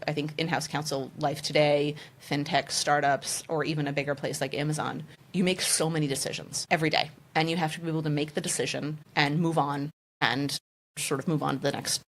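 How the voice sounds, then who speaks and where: A woman's voice: sits low, steady tone, brisk. A woman in her forties with an American accent, raised speaking French at home, telling her story with enthusiasm as a guest on a podcast interview. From United States. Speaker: general counsel, guest.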